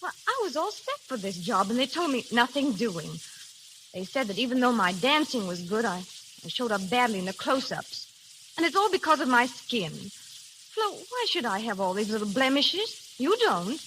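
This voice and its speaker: A self-pitying young woman, in a 1930s radio ad. She sounds high and animated in her discouragement, with faint crackle behind her. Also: normal speed. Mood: dejected. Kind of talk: 1930s radio advertisement acting.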